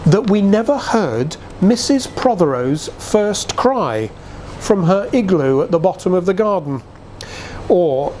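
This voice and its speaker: A man reads a nostalgic literary tale as a dramatic storyteller. His voice sits a little high and swings expressively through the phrases, at a leisurely pace. There is faint noise behind him. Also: darkish tone. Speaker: reader.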